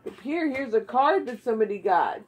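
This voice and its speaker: Woman puppeteer for a homemade show: higher, husky voice, simple encouraging questions, recorded in a living room on a phone. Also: expressive, brisk. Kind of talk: casual encouraging chat with a puppet partner. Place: living room beside a Christmas tree at home. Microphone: phone camera a short way off.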